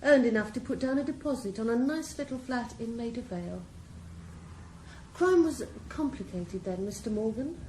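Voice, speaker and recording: A woman's voice: fairly high pitch, expressive, moderate pace, dark tone, natural voice. An actress in a radio drama playing a ruthless female drug boss, cool, composed and mocking. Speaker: criminal mastermind. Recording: roomy echo, faint background hiss.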